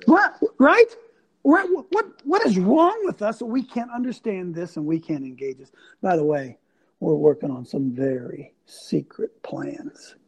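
Guy in his sixties hosting a church men's talk, preachy, gets loud and excited. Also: high voice, unhurried, slightly rough. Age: 60s. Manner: animated, preachy, loud exclamations. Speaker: host.

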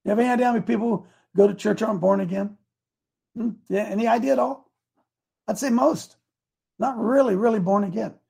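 A man's voice: sits high, fairly quick, vocal fry, mellow, husky. A man in his seventies preaching a casual, folksy sermon, repeating his points with emphasis. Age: 70s.

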